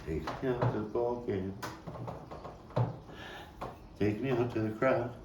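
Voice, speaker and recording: Actor as slow, halting Brooklyn storyteller man, roomy recording. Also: medium pitch, dull audio, lightly acted. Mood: mournful, wistful. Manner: halting, deliberate, with repeats and weighty pauses. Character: Brooklyn man recalling a haunted, violent 1950s boyhood. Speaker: actor and playwright reading his own monologue. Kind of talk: dramatic monologue at a table read. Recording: roomy, distant mic with faint background noise.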